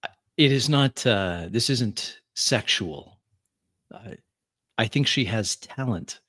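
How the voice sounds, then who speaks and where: A man voicing a suave, polished, manipulative male vampire with courteous, halting persuasion in a tabletop game. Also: fairly low pitch, lively intonation, a bit bright, slightly rough, dry recording, studio quality, pitched above the performer's own voice, exaggerated voice. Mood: persuasive.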